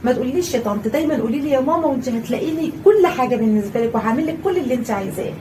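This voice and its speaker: Woman as a fussy Egyptian stepmother, very high and quick-talking, mellow tone, a bit off-mic. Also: animated, a little hiss or hum. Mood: sweet. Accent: Egyptian Arabic. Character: fussy stepmother, doting then scolding. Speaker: actor in a family skit. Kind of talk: home-made family skit. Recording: voice a little far from the mic.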